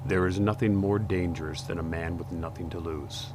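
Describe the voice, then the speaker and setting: An actor playing an earnest, weary, conflicted male superhero, delivered gravely in pulp radio drama style. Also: sits low, fairly even intonation, normal speed, lightly acted. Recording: clearly noisy background.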